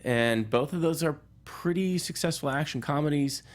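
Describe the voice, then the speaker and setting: A man's voice: fairly high pitch, normal speed. A man chatting casually as a film reporter on a talk show panel.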